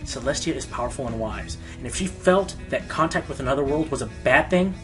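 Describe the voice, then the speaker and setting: A man's voice: mid-range voice, lively intonation, a little fast, close to an everyday voice. A man playing a passionate, self-deprecating male Pegasus radio host in an earnest radio play. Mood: firm, defiant. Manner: earnest, hesitant, turning indignant. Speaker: radio show host being interviewed. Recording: music behind the voice.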